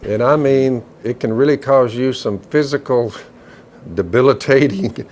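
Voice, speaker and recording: A man evangelizes in a folksy, talking style. His pitch is average, and faint background noise comes through. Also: measured pace, a little mellow. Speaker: preacher. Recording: noisy played video clip.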